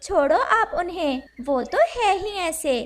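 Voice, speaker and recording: A woman voicing a caring, gentle mother sparrow in a Hindi children's cartoon, with simple, clearly addressed lines. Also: very high voice, expressive, slow pace, heavily acted. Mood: earnest, kindly.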